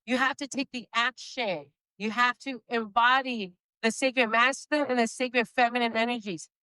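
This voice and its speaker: A woman with a slight Spanish accent, Spanish being her first language, giving an emphatic inspirational speech and slowing down for key phrases. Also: on the high side, steady tone, bright, forceful tone, smooth, no echo, rough recording. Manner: emphatic, slows down for key phrases, laughs between points. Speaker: motivational speaker.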